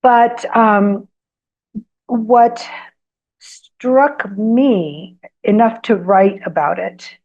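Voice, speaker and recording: A woman whose first language is Greek tells personal stories as a guest in an interview. She pauses often with ums, then grows animated as the stories build. The recording sounds slightly dull and lo-fi. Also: mid-range voice. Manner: hesitant, lots of ums, animated when telling anecdotes. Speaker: guest author. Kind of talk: storytelling in an interview.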